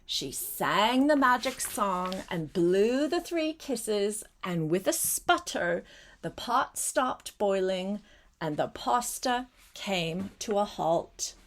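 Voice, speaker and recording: An older woman, a grandmother, reading a storybook aloud in an expressive way and chatting directly to a child as she goes. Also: mid-range voice, slow. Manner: animated, addresses the young listener with questions.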